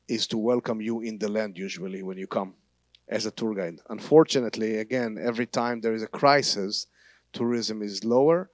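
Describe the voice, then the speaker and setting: A man's voice: lowish voice, dry recording. Israeli man speaking with frequent restarts. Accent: Israeli Hebrew. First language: Hebrew. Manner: halting, non-native grammar with frequent restarts. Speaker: guest speaker, tour guide. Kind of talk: church teaching talk. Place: church.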